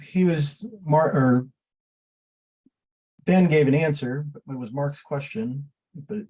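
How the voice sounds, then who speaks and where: A man speaking haltingly and informally as a participant in a technical discussion. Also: a little high, dark tone, poor audio. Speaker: community call participant. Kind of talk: casual technical question.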